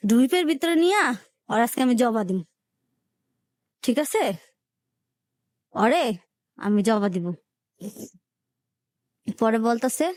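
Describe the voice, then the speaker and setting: A woman's voice: on the high side, dry recording. A woman with a colloquial Dhaka-area Bangladeshi accent tells her own life story emotionally, acting out conversations as she goes. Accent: Bangladeshi, colloquial Dhaka-area Bengali. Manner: acts out quoted conversations in dialect.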